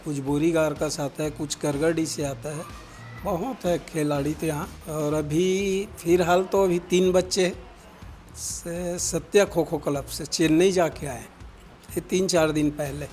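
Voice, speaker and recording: A man's voice: on the high side, fairly even intonation, a little slow, a little mellow, faint music behind. A man speaking informally as a village representative in an interview. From village in Chhattisgarh, India.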